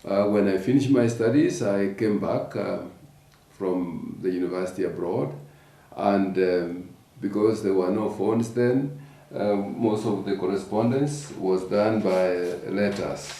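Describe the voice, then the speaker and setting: A man, slowly recalling his past as an interviewee, halting with many fillers. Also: lowish voice, warm tone. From Kenya. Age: 60s. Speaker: interviewee, husband. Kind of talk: relaxed personal recollection. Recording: roomy, distant from the mic.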